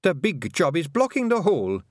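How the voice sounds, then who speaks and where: A man doing a sharp-tongued fairy daughter. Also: sits high, wildly swooping pitch, fairly quick, no echo, clean studio sound, pitched above the performer's own voice. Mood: sharp, sulky. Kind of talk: audiobook character voice.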